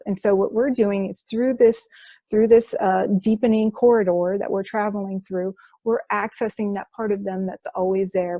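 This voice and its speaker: A woman's voice: medium pitch, warm tone, dry recording, low-quality recording. A woman who works as a hypnosis practitioner, explaining her sessions as a guest in an interview, enthusiastic and often restarting her phrases.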